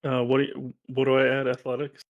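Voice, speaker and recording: A man's voice: mid-range voice, monotone, fast talker, creaky, narrow, tinny audio. A man playing a tabletop game, talking casually as a player at the table.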